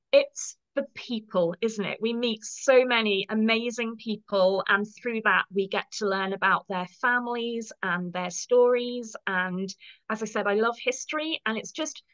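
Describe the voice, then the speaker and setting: A woman's voice: a little high, a bit bright, rough recording. English woman, fifties, English accent, chatty interview guest, friendly, lots of ums. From England. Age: 50s. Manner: friendly, chatty, with frequent ums and self-deprecating asides. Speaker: guest, professional photo organizer. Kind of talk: casual interview chat.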